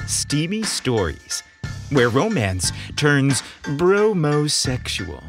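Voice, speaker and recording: A man hosting and narrating a scripted story podcast with theatrical, dramatic phrasing. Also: medium pitch.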